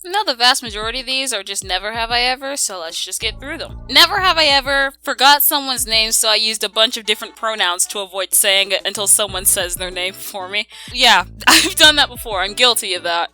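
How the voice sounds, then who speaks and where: A woman's voice: fairly high pitch, fairly quick, hardly any pauses, sharp tone, low-quality recording. A young woman hosting a casual fan Q and A, playful and exclamatory with dramatic reactions. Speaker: video host reading and answering fan questions. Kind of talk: casual Q and A banter. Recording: music under the voice. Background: background music.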